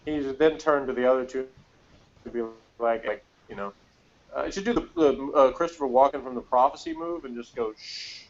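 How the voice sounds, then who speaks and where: A man's voice: medium pitch, a little fast. A man co-hosting a casual review podcast, talking in a rambling, hedging, wry way. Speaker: podcast co-host reviewing a TV episode. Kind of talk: casual chat. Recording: dull, lo-fi sound.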